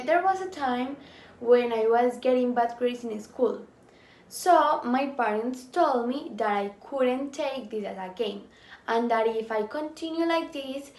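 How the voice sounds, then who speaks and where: A woman interviewed as a volleyball player, giving prepared answers in a steady, measured way with a few hesitations. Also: sits high, a little hiss or hum. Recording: echoey room, mic at a distance.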